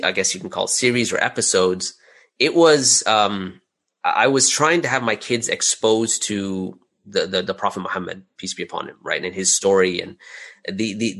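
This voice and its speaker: A man's voice: fairly low pitch, fairly bright tone. A middle-aged man talking casually as an interview guest, in a chatty way peppered with "you know" and "right?". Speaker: guest, audio drama creator.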